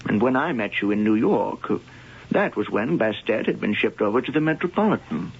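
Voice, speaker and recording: An actor playing a suave, secretive male professor who studies cats, smooth and evasive in 1970s radio acting. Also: lowish voice, expressive, a little fast. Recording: lo-fi old radio broadcast with hiss.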